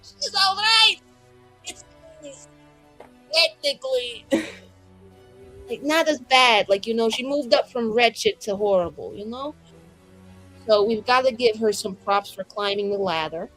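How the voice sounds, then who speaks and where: A fierce but fragile woman adventurer, improvised by a woman player in a tabletop game. She speaks in a high, casual voice and rambles dramatically, with self-deprecating humor. Faint music plays behind her. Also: animated, slow pace, pauses often, fairly bright tone, rough recording. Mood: defensive, joking. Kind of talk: in-character improvised roleplay.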